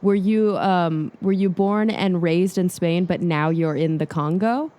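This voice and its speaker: A woman's voice: fairly low pitch, unhurried, mellow. A woman hosting a podcast, asking long, careful interview questions in a friendly way. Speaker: podcast host. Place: Los Angeles.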